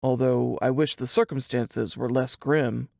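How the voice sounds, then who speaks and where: A woman voicing a terse, low-key male police detective with a gravelly voice in an audiobook. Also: fairly even intonation, measured pace, a little mellow, no room sound, studio quality, heavily acted. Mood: restrained. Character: male police detective, an old high school sweetheart. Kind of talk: audiobook character dialogue.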